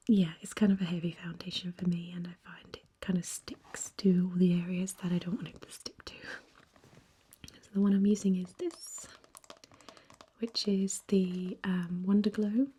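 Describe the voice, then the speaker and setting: A young woman speaking very softly in a gentle, halting ASMR makeup chat, her whispery voice slightly croaky and hoarse after an illness. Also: medium pitch, a little hiss or hum. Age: young adult. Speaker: ASMR vlogger.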